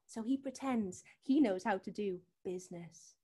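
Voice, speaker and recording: A woman's voice: medium pitch, moderate pace, dark tone, husky, close to an everyday voice. A woman acting in a drama scene as a worried, determined sister, insistent and scornful, with a British accent. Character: worried, determined sister confronting a drug dealer. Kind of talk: acted scene from a drama showcase.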